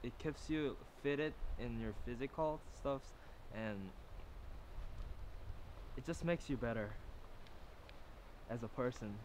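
A teenage boy from South Korea, an international student, speaking earnestly and clearing his throat in an outdoor interview. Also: medium pitch, slow, long pauses, a little mellow, a little hiss or hum, poor audio. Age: teens. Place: outdoors on a lawn by woods.

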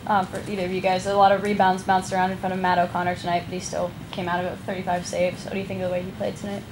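A woman reporter briskly asking questions at a press conference. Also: fairly low pitch, completely flat delivery, noisy background.

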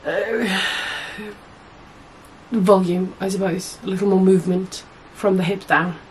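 A young woman with a husky voice, hoarse from a cold, chatting to camera as a sewing vlogger in a small room at home, rambling and correcting herself often. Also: mid-range voice, fairly even intonation, unhurried, a little mellow, a little hiss or hum, rough recording. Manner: chatty, rambling, many fillers and self-corrections. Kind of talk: casual chat to camera.

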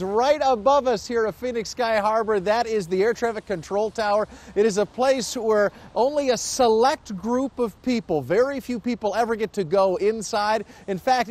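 Male field reporter, American, thirties, conversational live delivery, notably high voice for a man. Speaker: TV news reporter. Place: outdoors at an airport roadside at night.

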